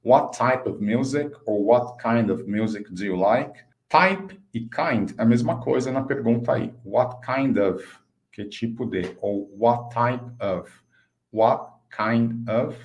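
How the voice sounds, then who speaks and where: A man in his fifties with a Brazilian accent, a native Portuguese speaker, teaching an online English lesson and slowly enunciating example phrases. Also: medium pitch. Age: 50s. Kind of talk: online language lesson, explaining and modelling phrases.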